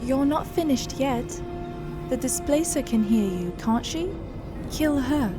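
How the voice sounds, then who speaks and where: A shrewd elf noblewoman with a high voice, composed and coaxing in fantasy game dialogue. Music plays under her measured persuasion. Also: expressive. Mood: cool, persuasive. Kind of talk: dramatic video game dialogue.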